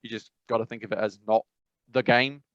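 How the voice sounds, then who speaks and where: A man's voice: mid-range voice, flat delivery, fairly quick, a bit bright, no room sound. Man, podcast co-host, rambling, self-correcting, dry muffled recording. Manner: rambling, self-correcting, frequent "I must be honest". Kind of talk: casual chat.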